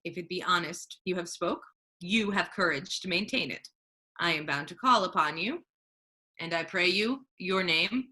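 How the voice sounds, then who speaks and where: A woman's voice: on the low side, normal speed, bright, forceful tone. A woman acting a dignified, indignant friar in a staged Shakespeare reading, speaking in a formal, righteous manner. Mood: stern. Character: Duke disguised as a male friar, dignified, indignant.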